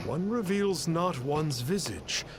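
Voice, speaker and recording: A self-styled holy master in a video game. He speaks slowly in archaic, pompous phrases, with grand sweeping pitch, over clear background noise. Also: lively intonation. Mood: grandiose. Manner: pompous, archaic formal wording. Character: masked male charlatan mystic, grandiose, smooth-talking, pious.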